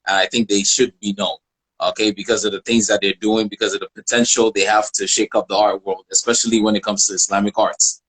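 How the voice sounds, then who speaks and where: A man with a fairly low voice hosts a live interview in a casual style. He talks hesitantly, often circling back to repeat a phrase. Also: level tone, fast, fairly bright tone, slightly rough, poor audio. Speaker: host of live art interview show.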